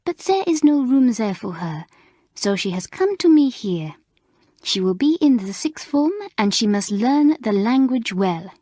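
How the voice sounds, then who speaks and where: Woman putting on a shrill schoolmistress. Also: big pitch swings, a little slow, dark tone, dry recording, clean studio sound. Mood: beaming. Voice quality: high, emphatic, scolding. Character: excitable middle-aged French schoolmistress, fussy.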